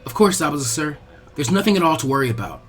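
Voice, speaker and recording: A man's voice: fairly high pitch, very animated, fast, soft background music. A man voicing an earnest young female ninja in a story reading, speaking politely and deferentially. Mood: dutiful, deferential.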